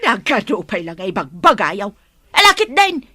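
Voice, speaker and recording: A woman's voice: mid-range voice, sing-song, moderate pace, sharp tone, no room sound, clean studio sound. An actress playing a harsh, nagging mother who belittles her daughter in an Ilocano radio drama. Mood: angry, nagging. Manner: nagging, exclaiming.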